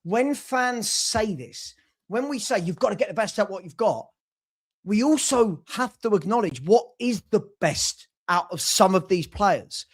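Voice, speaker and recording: A man on a football fan livestream, arguing his point in a brisk, reasoned way and checking in with rhetorical tag questions. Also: sits high, lively intonation, husky. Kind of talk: casual argumentative chat.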